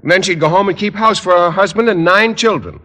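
A man's voice: high-pitched, a little fast, sharp tone. An actor playing an earnest, oblivious man who teaches school, literal-minded and hesitant, in an old radio sitcom. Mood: earnest, admiring. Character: earnest, naive, oblivious bachelor male schoolteacher. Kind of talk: 1940s-50s radio sitcom acting. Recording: hissy vintage radio recording.

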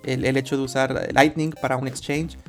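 A man's voice: mid-range voice, little pitch movement, moderate pace, soft background music. A man hosting a casual interview show, introducing guests with frequent repeated words. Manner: warm-up talk with repeated words. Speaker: podcast host.

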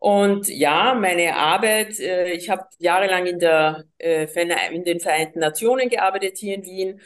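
Woman, slightly low voice, halting speech. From Colombia. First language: Spanish. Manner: hesitant, many fillers and restarts. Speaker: guest. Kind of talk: personal storytelling in an interview.

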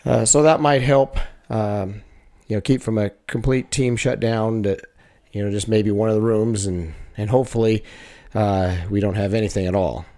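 A man, a wrestling coach, talking over the season in a casual, halting way, full of fillers and restarts. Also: on the low side, fairly even intonation, dark tone. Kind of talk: casual chat.

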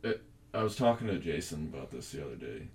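A man's voice: extremely low pitch, very animated, fast, a little mellow, recorded from a distance. A young man in casual conversation, rambling and arguing his points with plenty of profanity. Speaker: podcast host. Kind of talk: casual chat.

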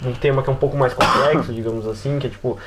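A man's voice: mid-range voice, steady tone, very fast, crowd noise. A man with a Brazilian accent chatting casually on a games podcast, rambling informally with lots of filler words. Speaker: podcast panelist.

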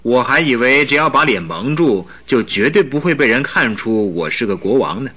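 A man's voice: mid-range voice, very animated, normal speed, sharp tone, husky, heavily acted. An actor playing a haughty, secretive young king in a radio drama, speaking in a formal, grave and imperious manner. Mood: surprised. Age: young adult. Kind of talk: Mandarin radio drama acting.

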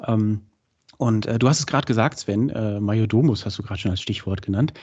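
A man hosting a podcast in casual chat, with a cold, chatty and filling pauses. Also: on the low side, darkish tone, breathy edge. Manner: chatty, many fillers. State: has a cold. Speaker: podcast host. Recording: dull lo-fi sound.

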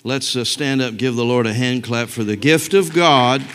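A man's voice: medium pitch, moderate pace, a bit bright, a little hiss or hum. A male pastor introducing a guest speaker from the pulpit, in a preacher's style with amens. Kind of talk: sermon. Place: church sanctuary. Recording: church hall reverb.

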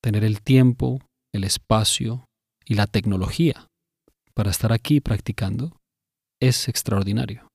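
A man narrating a scripted guided meditation in a measured, instructive way, pausing between phrases. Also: on the low side, creaky, darkish tone, breathy edge, no echo, studio quality.

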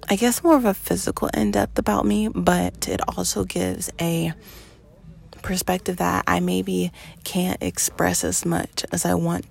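Early-twenties woman, podcast host, lowish raspy voice, earnest personal monologue. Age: 20s. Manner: slow, earnest, with pauses and fillers.

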